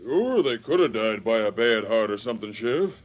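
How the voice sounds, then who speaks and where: Man playing a gruff, animated western stage boss. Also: lowish voice, fairly quick, close to an everyday voice. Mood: grim, explaining. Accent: American. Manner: blunt, gruff, rustic speech. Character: gruff, doubting Old West stagecoach superintendent. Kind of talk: 1930s radio western acting. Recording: dull old 1930s radio recording.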